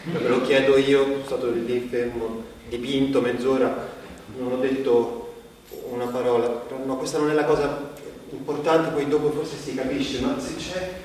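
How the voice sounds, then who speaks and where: An actor playing a self-questioning performer who explains himself to an audience in a halting, self-deprecating way. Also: male voice, medium pitch, flat delivery, a little mellow, natural voice. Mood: searching, awkward. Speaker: stage actor. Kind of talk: theatrical monologue addressed to the audience. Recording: very echoey hall, distant mic, faint noise.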